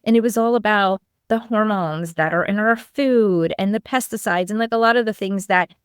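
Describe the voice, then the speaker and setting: A woman's voice: medium pitch, moderate pace, clear, resonant tone, no room sound, studio quality. A middle-aged woman from Lithuania telling a personal story conversationally as an interview guest, acting out bits of dialogue as she goes. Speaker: podcast guest, clinical nutritionist.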